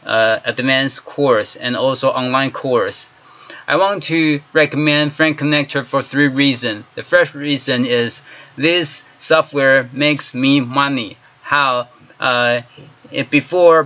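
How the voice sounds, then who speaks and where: A man in his forties from Taiwan, a native Chinese speaker with a Taiwanese Mandarin accent, giving a halting product testimonial in simple English from his office. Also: medium pitch, bright, forceful tone, lo-fi sound. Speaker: marketing consultant.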